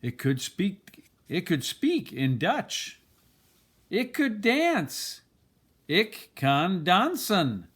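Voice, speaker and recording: An older man reading a children's picture book aloud, expressively and deliberately, with dramatic pauses. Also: sits high, very animated, fairly bright tone, breathy edge. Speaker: storybook reader.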